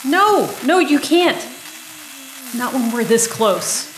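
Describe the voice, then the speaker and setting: A woman's voice: high-pitched, very animated, slow, exaggerated voice. A woman playing an earnest government special agent in an audio drama, formal, businesslike and persuasive. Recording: echoey room, faint noise.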